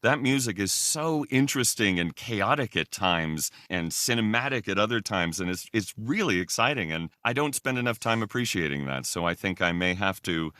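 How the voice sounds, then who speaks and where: A male podcast guest with a deep voice that still has a bright, crisp edge. He chats casually, with a dry, close sound around him. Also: lively intonation, moderate pace, few pauses, no echo. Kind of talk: casual chat.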